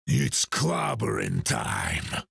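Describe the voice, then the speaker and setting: A man voicing a gruff, good-natured rocky bruiser in a video game, wisecracking in a rough voice. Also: a bass voice, wildly swooping pitch, very slow, a bit bright, no room sound, heavily acted. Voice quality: very gravelly, rough. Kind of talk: video game character dialogue.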